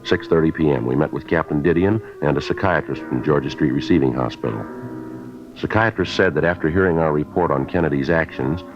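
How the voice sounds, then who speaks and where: Really deep clipped cop in a 50s radio show, flat matter-of-fact and polite, dull old audio. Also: male voice, fairly quick. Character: terse, businesslike male police detective. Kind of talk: 1950s radio drama acting, clipped procedural narration. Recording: dull vintage radio recording with music.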